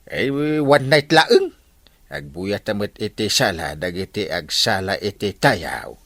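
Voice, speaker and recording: A man's voice: low voice, big pitch swings, slow, a bit bright, no room sound, full character voice. A man with an Ilocano accent playing a boisterous, stubborn and proud village captain in a radio comedy drama. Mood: coaxing.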